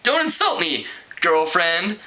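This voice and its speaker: A man's voice: sits high, very animated, takes it slowly, pushed and bright, exaggerated voice. A man reading the part of a breezy, self-assured, fashion-minded teenage girl in a novel, airy and sassy.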